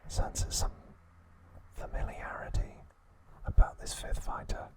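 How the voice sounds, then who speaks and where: Man whispering slowly in ASMR style. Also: rough recording. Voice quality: unvoiced breathy whisper. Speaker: ASMR host narrator. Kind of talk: whispered ASMR episode recap narration.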